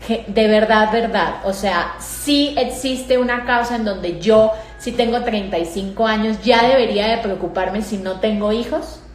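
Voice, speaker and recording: A woman's voice: medium pitch, unhurried, hardly any pauses, a little hiss or hum. A woman with a Mexican Spanish accent hosting an interview, engaged and questioning, often saying "o sea". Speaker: host. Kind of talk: interview conversation. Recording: echoey room with faint ambience.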